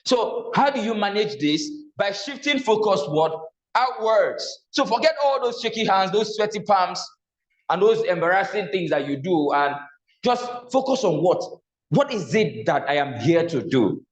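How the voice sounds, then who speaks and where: A man's voice: high voice, sing-song, normal speed. A man with a Nigerian accent teaching a public speaking class, often posing a question to the room and then answering it himself. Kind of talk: classroom lecture. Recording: echoey room, slightly dull sound.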